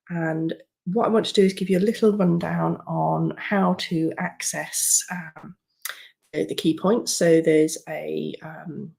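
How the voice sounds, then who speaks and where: A woman coaching writers in a webinar, slightly croaky from a cold. Her low voice has a gravelly fry, and she talks in a chatty way, full of ums and restarts. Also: warm tone. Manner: chatty, with many fillers and restarts. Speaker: writing coach. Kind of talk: screen-share webinar walkthrough.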